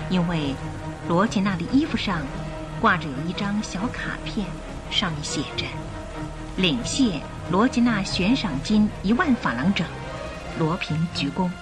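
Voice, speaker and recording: A woman narrating a radio drama in an expressive, suspenseful storytelling style. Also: mid-range voice, measured pace, rough recording. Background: music.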